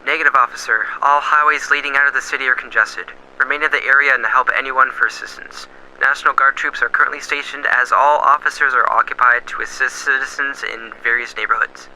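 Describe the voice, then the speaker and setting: A steady male police dispatcher, played by a man, rattles off orders at a quick clip. His voice is bright and sharp over a faint hiss. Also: mid-range voice, rough recording. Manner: crisp, procedural radio talk. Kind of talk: amateur radio drama acting.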